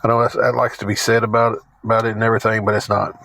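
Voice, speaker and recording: A man with a Southern accent and a raspy voice, chatting casually on a live stream from his phone, rambling and repeating himself. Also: fairly low pitch, level tone, racing, pushed and bright, dry recording. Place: parked car, then walking outdoors.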